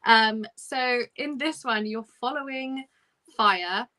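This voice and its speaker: Woman doing a chatty book livestream, really bright voice, informal and loose. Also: a little high, takes it slowly. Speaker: host of a book discussion live show. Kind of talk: casual book chat on a livestream.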